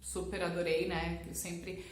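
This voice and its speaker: The voice of a Brazilian woman vlogger sharing her own story with followers from a bare, echoing room, chatty and expressive. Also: fairly low pitch, a little slow. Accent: Brazilian Portuguese. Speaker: language teacher and vlogger. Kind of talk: casual personal storytelling to camera. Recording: echoey, roomy sound.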